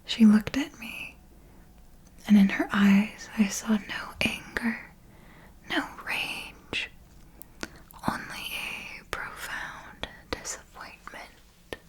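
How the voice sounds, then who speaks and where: Female performer for seasoned vampire mentor, ASMR roleplay. Whispered, extremely unhurried, formal and theatrical, doting yet menacing. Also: mid-range voice, expressive, breathy edge, exaggerated voice. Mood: solemn, haunted. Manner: slow, deliberate, theatrical, formal diction.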